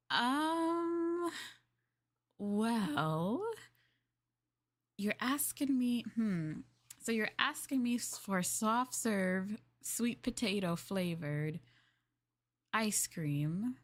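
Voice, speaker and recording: A woman streamer chatting casually in a maid roleplay, rambling and laughing softly as she addresses her master. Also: mid-range voice, big pitch swings, extremely slow, pauses often. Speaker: VTuber streamer.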